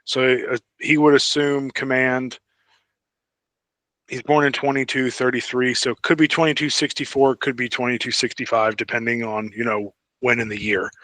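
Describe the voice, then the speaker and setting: A man's voice: mid-range voice, a bit bright, dry recording. Man explaining casually, dry podcast sound. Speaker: podcast co-host. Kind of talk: casual fan discussion.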